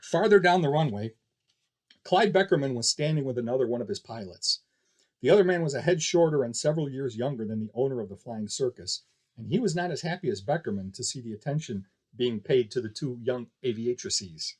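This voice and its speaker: A man's voice: mid-range voice, lively intonation, a little fast. A man reading scripted fiction aloud as a narrator.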